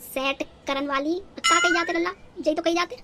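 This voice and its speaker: A woman's voice: extremely high pitch, steady tone, normal speed. Woman acting as older mother-in-law, rural North Indian accent, folksy, fussy, repetitive, cartoon story, faint noise behind. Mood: puzzled. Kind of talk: cartoon story dialogue in rustic dialect.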